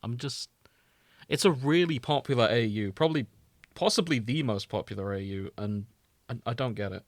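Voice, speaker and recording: Man, mid pitch, podcast host, casual comedic chat, dry sound. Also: moderate pace, no echo.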